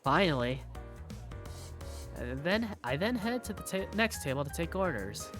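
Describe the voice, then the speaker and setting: An easygoing young waiter, voiced by a woman, tells his story in the first person. The voice sits a little low for a woman and moves up and down in a lively way, with faint music behind it. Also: measured pace. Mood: easygoing, wry. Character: Simon, easygoing young male bar waiter narrating his day. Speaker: narrator and lead in a fan dub. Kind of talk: first-person visual novel narration.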